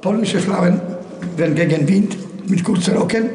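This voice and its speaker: A man's voice: sits high, measured pace, mellow. A man with a Polish accent guiding an informal tour in jovial, broken German with short repeated phrases. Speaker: tour guide. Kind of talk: informal guided tour chat. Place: underground concrete bunker tunnels. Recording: echoey tunnel, distant mic, faint noise.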